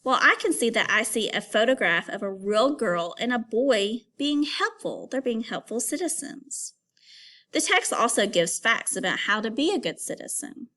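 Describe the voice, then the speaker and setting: Female primary teacher for a kids' read-aloud: higher voice, very animated intonation, instructional, questioning the listener directly. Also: moderate pace. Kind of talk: educational read-aloud for young children.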